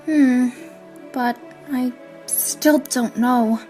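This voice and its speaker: A woman's voice: high-pitched, steady tone, darkish tone, soft background music. A woman playing an anxious, fragile schoolgirl in an audio drama, speaking hesitantly and narrating her own story. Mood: pensive. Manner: hesitant, halting. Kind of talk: anime-style audio drama acting.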